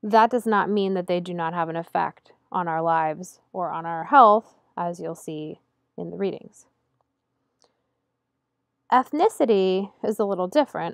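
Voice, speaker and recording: Woman lecturer, measured explanatory delivery, mid-pitched voice, dry close sound. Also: creaky, no room sound. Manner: measured and explanatory, slowing to stress key terms. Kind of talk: recorded slide lecture. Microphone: close voice-over mic over slides.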